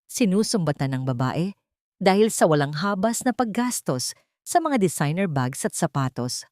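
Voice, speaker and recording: A woman narrating a scripted drama story in a measured, solemn way. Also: fairly low pitch, a little mellow, no room sound, studio quality.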